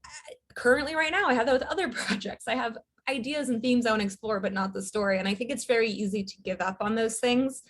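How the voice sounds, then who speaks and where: A woman, a writer and director, talking as an interview guest in a relaxed, thoughtful way with many fillers. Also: a little high, fast talker. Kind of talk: casual interview chat.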